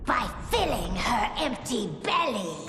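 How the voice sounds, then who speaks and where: A woman's voice: on the high side, big pitch swings, takes it slowly, noisy background. An actress playing a menacing, taunting female villain in a video game. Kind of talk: video game cutscene acting.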